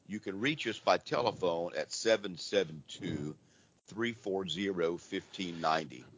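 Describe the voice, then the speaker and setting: A hoarse man with laryngitis hosts a live call-in radio show, talking in a casual, rambling way with frequent restarts. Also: deep voice, animated, a little slow, a bit bright. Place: church. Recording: dull lo-fi radio audio with faint noise.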